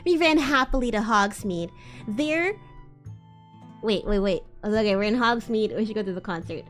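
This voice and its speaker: A woman's voice: mid-range voice, normal speed, a bit bright, faint music behind. A woman livestream host doing a giggly, theatrical comedic reading, often breaking off into asides. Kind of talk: live comedic dramatic reading of fan fiction.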